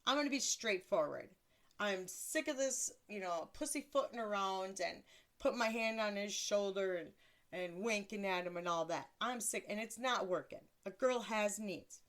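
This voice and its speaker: A woman in her thirties with a deeper voice, hosting a casual solo podcast in a blunt, crude, self-mocking way and laughing often. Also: moderate pace. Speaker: podcast host.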